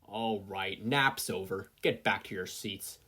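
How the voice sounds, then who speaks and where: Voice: sits low, slow, pushed and bright, husky, full character voice. A narrator with an American accent voicing a weary, dry, dismissive male teacher in a story reading. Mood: bored.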